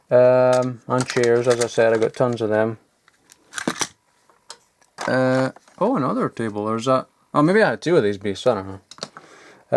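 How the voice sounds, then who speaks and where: Young adult male, mid-pitched, loose offhand show-and-tell delivery, faint hiss behind. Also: a little mellow. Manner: rambling, offhand, chuckles at himself. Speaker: toy collector vlogger. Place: home loft.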